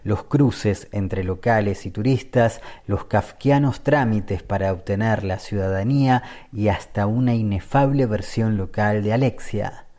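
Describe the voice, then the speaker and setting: Low mellow male radio narrator. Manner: measured, read-aloud delivery. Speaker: radio podcast host and narrator.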